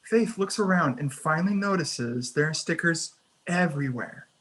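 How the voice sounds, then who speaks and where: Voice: mid-range voice, animated, normal speed, fairly bright tone, slightly rough. A narrator reading screenplay action lines and scene headings in a scripted table read.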